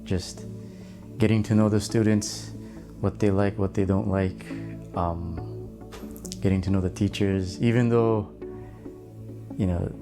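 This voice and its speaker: A man with a gravelly, fairly low voice reflects haltingly in an interview, leaning on "you know" as he talks. Music plays clearly under him. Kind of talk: casual interview answers. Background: guitar music.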